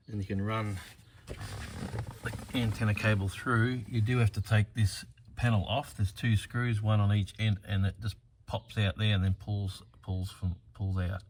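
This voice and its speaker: A man with a fairly deep voice narrates a hands-on how-to as a DIY presenter. He is plain and matter-of-fact, explaining things step by step. There is some faint noise behind his voice.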